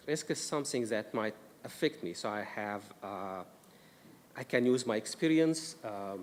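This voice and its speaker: A man from Egypt with an Egyptian accent, presenting a risk management workshop to a council, explaining carefully with frequent "uh" fillers. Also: mid-range voice. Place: council meeting room. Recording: distant mic in a reverberant room with noise.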